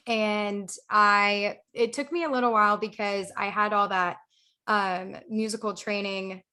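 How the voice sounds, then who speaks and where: A woman's voice: medium pitch, a bit bright. A young adult woman from Texas, an interview guest telling stories in a casual, chatty way. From Houston, Texas, United States. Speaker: guest singer-songwriter.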